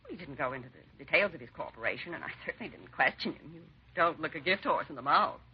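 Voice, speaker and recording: A woman's voice: sits low, expressive, fast talker, slightly rough, heavily acted. A woman acting a genteel, dignified and trusting charity hostess in a 1950s radio drama, with a proper, hesitant manner. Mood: composed, chatty. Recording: muffled, noisy 1950s radio recording.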